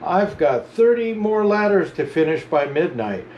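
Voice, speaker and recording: A man reading a storybook, voicing a busy grown-up elf carpenter in a brisk, raised voice. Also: high-pitched, big pitch swings, reverberant, dull audio, a little hiss or hum.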